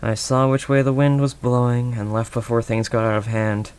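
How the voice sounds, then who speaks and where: A man playing a protective older male werewolf loner, gentle and conversational, in an intimate audio roleplay. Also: mid-range voice, steady tone, moderate pace, clear, resonant tone, natural voice. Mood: matter-of-fact. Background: rain ambience sound effects.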